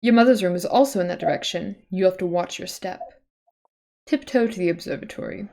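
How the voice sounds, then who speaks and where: A woman's voice: fairly low pitch, a little fast, warm tone. A woman reading a webcomic aloud on a livestream in an informal way, breaking off for casual asides and self-corrections.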